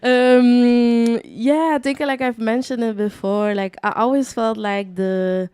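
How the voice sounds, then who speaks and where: A woman from Chile, a guest DJ, talking casually in a radio interview with lots of "like" and animated asides. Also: a little high, slow, smooth, dry recording, studio quality. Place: radio studio.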